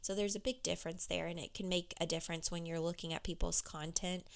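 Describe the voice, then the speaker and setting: A woman business coach talking candidly on her solo podcast, with a hoarse, froggy voice. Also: lowish voice, steady tone, fast talker. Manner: candid, conversational, with fillers.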